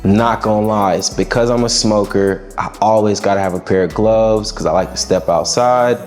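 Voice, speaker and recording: A young man with a raspy, lowish voice talks through interview answers in an easygoing, reflective way with casual slang. Soft music plays faintly behind him. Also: moderate pace. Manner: laid-back, reflective, slangy. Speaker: musician guest.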